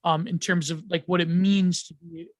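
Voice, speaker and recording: A man with a high voice talks as a podcast guest. He rambles and restarts, with plenty of ums. The sound is dry and close. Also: flat delivery, moderate pace, no echo. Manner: rambling, many ums and restarts, laughs often. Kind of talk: casual podcast chat.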